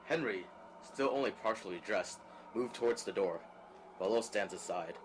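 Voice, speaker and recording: A man's voice: fairly low pitch, normal speed. A man narrating a drama script, reading out scene action as scripted narration. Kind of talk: scripted narration of stage directions. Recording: clear background noise.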